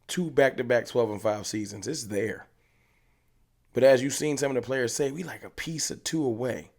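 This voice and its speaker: A man's voice: mid-range voice, normal speed, vocal fry, darkish tone. A man hosting a fan channel, ranting passionately to camera in casual speech and hammering his points home with repetition. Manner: passionate, emphatic, repeats points. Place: room with hats on the wall behind him.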